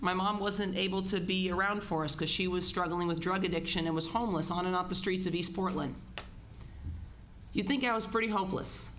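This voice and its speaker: A woman in her thirties, stuffed up with a head cold, speaks lower than usual. She tells a personal story into a table mic in a meeting room with a small audience. A faint hiss sits underneath. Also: brisk, a bit bright. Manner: deep-voiced, personal storytelling. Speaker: political candidate.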